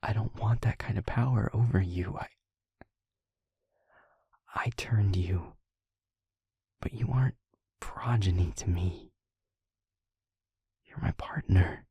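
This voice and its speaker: A man playing a gentle, devoted vampire boyfriend in an intimate audio roleplay, speaking tenderly and earnestly in a husky half-whisper. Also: fairly low pitch, no room sound, dull audio, heavily acted. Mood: earnest, loving. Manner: slow, halting, tender, earnest delivery. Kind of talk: intimate audio roleplay, ASMR-like scripted acting.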